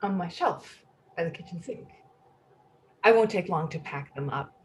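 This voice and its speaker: A woman in a play reading, playing a naive, eccentric woman with halting, repetitive phrasing. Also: on the low side, lively intonation, darkish tone, a little hiss or hum, natural voice. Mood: wistful, determined. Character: naive, eccentric woman upset about a talking icebox. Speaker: actor in a staged play reading. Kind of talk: readers theatre acting.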